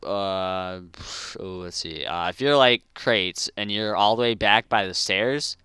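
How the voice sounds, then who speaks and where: Teen guy gamer, sick, slurs a bit from a mouth appliance, rambles w lots of ums, bright crisp dry headset sound. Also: fairly low pitch, slow pace, dry recording. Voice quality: slightly slurred speech from a dental appliance. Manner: rambling, filler-heavy, informal gamer slang. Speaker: competitive gamer and video host. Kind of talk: casual gameplay commentary. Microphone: gaming headset mic.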